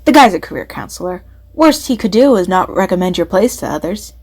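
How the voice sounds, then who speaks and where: A woman's voice: fairly low pitch, very animated, brisk, darkish tone, a little hiss or hum. A woman playing a trusting, candid young woman who is a friendly confidante in an audio drama.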